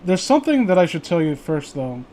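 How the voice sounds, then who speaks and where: A man doing casual commentary and banter as a streamer reading stories aloud, stammering, exasperated and quick to swear. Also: fairly high pitch, very animated, mellow, a little hiss or hum. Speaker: streamer reading creepypasta with friends.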